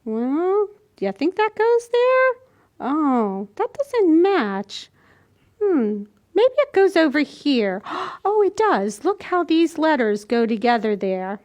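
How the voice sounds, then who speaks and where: An older woman with a very high voice leads a storytime for small children. She speaks slowly and in simple steps, demonstrating as she goes. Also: big pitch swings, a little mellow. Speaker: children's library storytime host. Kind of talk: instructional talk to young children. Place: indoors, small plain room. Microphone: camera a few feet away.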